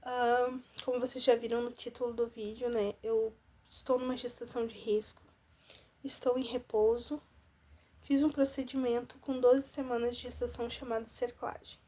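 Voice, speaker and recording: Woman, thirties, slightly high voice, congested and nasal, earnest personal vlog delivery. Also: level tone, normal speed, dark tone. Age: 30s. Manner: earnest, with frequent "né?" and restarts. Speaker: vlogger.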